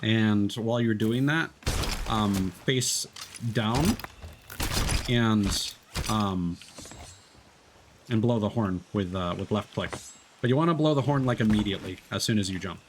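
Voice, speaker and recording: A man's voice: lowish voice, a little slow, pauses often. A man streaming a game, explaining steps to a co-player with many fillers. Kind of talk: casual game chat. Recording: noisy stream audio.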